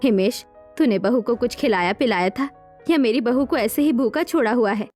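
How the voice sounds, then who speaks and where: A woman voicing a caring middle-aged mother-in-law in a story, soothing, coaxing and gently bossy. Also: a little high, moderate pace, soft background music, voice pushed down, lightly acted. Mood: concerned.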